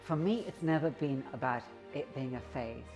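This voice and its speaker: A woman's voice: a bass voice, moderate pace, over music. A woman who works as an actor speaking confidently about her life in an interview. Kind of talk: personal statement.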